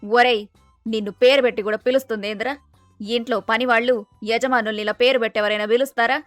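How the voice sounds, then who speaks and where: A woman's voice: on the high side, steady tone, fast, no room sound, soft background music, natural voice. Woman acting a bossy, doting middle-aged mother, close dry sound. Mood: indignant. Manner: scolding, exclamatory, colloquial. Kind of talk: dramatised moral story dialogue.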